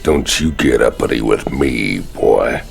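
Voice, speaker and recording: An actor voicing a swaggering, sardonic older convict outlaw in a video game, with a very gravelly American Southern drawl. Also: male voice, rumbling low, slow, soft background music, full character voice. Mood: needling. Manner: drawling, needling. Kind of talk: cinematic video game acting.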